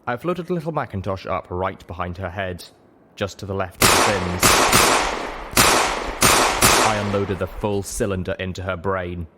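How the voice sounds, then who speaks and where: A man reading a dramatic story as an audiobook narrator, measured, solemn and emotive. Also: low-pitched, very noisy. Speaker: audiobook reader.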